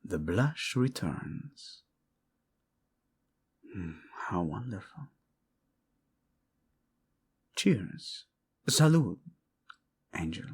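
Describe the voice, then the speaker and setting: A man's voice: low-pitched, big pitch swings, extremely slow, pauses often, husky, exaggerated voice. A man acting a passionate, protective male royal in a romantic audio drama, devoted to his queen, intimate and commanding, using Spanish endearments. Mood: tender, teasing. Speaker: voice actor. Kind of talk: romantic audio roleplay drama addressed to the listener.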